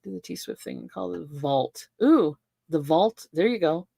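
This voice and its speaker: A woman's voice: sits low, expressive, moderate pace, gravelly, dark tone, breathy edge, no room sound. Playful older woman with a dry close sound. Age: 60s. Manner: repeats catchphrases, laughs often, playful banter. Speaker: co-host. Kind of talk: casual chat. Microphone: desk microphone.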